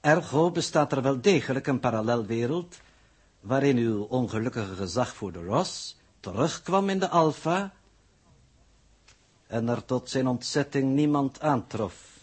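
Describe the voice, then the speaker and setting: A man playing a calm, pedantic scientific coordinator who lectures formally and condescendingly in a 1960s radio drama. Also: medium pitch, measured pace, husky. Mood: measured, explanatory. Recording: muffled-edged vintage 1960s radio recording.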